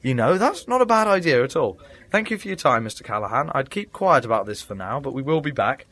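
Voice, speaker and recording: A man's voice: medium pitch, very animated, fairly quick, fairly bright tone, husky, heavily acted. A man playing a jaunty, wry eccentric. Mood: breezy. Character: eccentric, breezy male time traveller. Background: music.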